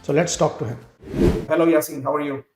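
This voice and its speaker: A man hosting a podcast interview, asking lively, quick questions with fillers. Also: fairly high pitch. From Pakistan. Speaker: podcast host. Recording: echoey room with faint music.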